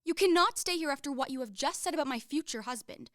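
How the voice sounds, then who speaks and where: Haughty romantic young heiress for radio play, female actor: high voice, declamatory, earnest, proud, dry close recording. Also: expressive, fast talker, fairly bright tone, no echo. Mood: brisk, encouraging.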